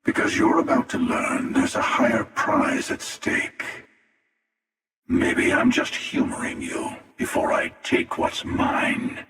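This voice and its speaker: A man playing a world-weary, menacing older immortal hunter in a video game, speaking slowly and sardonically in a gravelly, breathy rasp with a reverberant effect. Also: a bit bright. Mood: measured menace. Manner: slow, deliberate, sardonic, taunting. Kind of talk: video game character dialogue. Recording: echoey voice with long reverb, distant.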